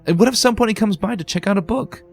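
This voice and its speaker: A man's voice: high voice, fast, gravelly, soft background music, pitched above the performer's own voice. A man voicing a bookish, determined young female unicorn pony in a fan reading, earnest and anxious. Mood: insistent.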